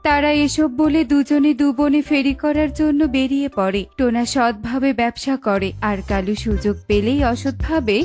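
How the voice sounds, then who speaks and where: A woman's voice: high voice, fairly even intonation, normal speed, hardly any pauses, darkish tone, faint music behind. A woman storyteller giving scripted narration for a children's tale.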